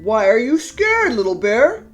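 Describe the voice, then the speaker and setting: Woman playing a patient big daddy bear, slow and animated. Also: fairly high pitch, soft background music. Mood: patient, questioning. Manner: patient, drawn-out questions and reassurances. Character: big grown male bear, patient weary caregiver. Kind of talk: storybook character read-aloud.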